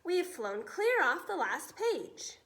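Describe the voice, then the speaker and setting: A woman's voice: very high voice, sing-song, takes it slowly, fairly bright tone, voice pushed up, heavily acted. A woman voicing a blustering, panicky male Air Force chief from a storybook, exclaiming in exasperation. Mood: alarmed, shouting.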